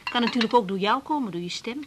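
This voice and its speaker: An actress with a Netherlands Dutch accent playing a tender, shy young doctor, hesitant and soft, in a 1980s radio drama. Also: female voice, fairly high pitch, animated, fast, heavy background noise, close to an everyday voice. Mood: tender, moved. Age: young adult. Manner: hesitant, soft, repeating words. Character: tired young woman doctor, tender, slightly shy dinner guest.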